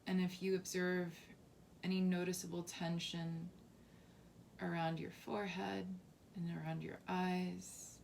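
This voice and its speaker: A woman leading a guided body scan meditation as a teacher, softly and calmly, with unhurried phrasing and long pauses. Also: on the low side, vocal fry, a little hiss or hum. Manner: soft, unhurried, calming instruction with long pauses.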